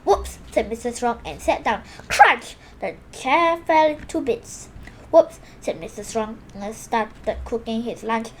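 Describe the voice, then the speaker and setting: A woman's voice: on the high side, measured pace, hardly any pauses, slight background noise. A woman reading a children's picture book aloud expressively, exclaiming the sound words and sometimes stumbling and correcting herself. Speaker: storybook reader for a children's video channel.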